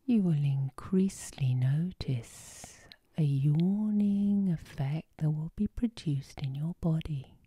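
Very mellow whispering woman, low and slow. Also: breathy edge, dry recording. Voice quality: soft, whispery. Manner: slow, soothing, gentle. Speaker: hypnotherapist, channel host. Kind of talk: ASMR guided sleep hypnosis.

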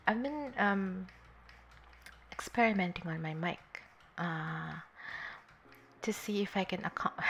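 A woman's voice: mid-range voice, a bit bright. A young woman streaming from home, chatting casually while she draws, giggling often and rambling with lots of fillers into a desktop microphone. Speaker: streamer drawing live. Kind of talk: casual chat while drawing. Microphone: desktop USB microphone.

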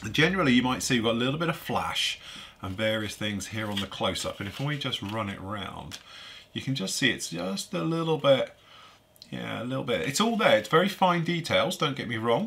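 A man's voice: medium pitch, vocal fry, a bit bright. A man with a congested, stuffy voice, chatting casually as he reviews a model kit, thinking aloud while he handles the parts. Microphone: overhead camera on a workbench, voice off-screen.

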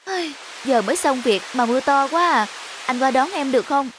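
A woman voicing a tired young wife who pleads with her husband in an animated drama. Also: high voice, moderate pace, slight background noise, low-quality recording. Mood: pleading. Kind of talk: animated moral drama dubbing.

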